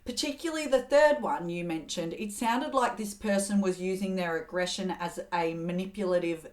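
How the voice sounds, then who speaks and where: A middle-aged woman hosting an advice show, coaching in a steady, earnest way and acting out example phrases. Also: lowish voice, normal speed. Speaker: host giving communication advice. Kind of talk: advice talk to camera.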